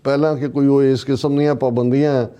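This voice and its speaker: Male political commentator, Punjabi accent, relaxed warm tone, mid pitch, sardonic and emphatic, conversational delivery. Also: fairly quick, clean tone. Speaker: political commentator on a talk show.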